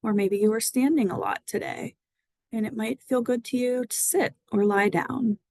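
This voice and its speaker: A woman guiding a mindfulness body scan meditation, speaking gently and soothingly with long pauses. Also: on the high side, fairly even intonation, no room sound. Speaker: mindfulness guide.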